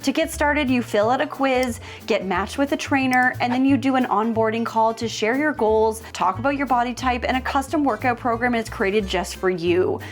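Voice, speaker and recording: A woman hosting a DIY vlog, talking in an upbeat, expressive, casual and chatty way. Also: a little high, normal speed, hardly any pauses, soft background music. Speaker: host of a DIY home makeover vlog.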